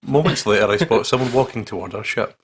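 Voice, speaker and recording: A man with a husky, gravelly voice playing a visual novel, reading the text aloud and joking in a playful way. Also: lowish voice, fast talker, slight background noise. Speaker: let's play host reading the game aloud. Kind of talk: dramatic read-aloud with joking commentary.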